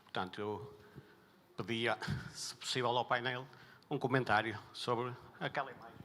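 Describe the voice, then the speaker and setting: A man's voice: mid-range voice, steady tone, normal speed, sharp tone, long reverb tail, a little hiss or hum. A man, an audience member putting a formal, hesitant question to a panel. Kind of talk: question from the floor.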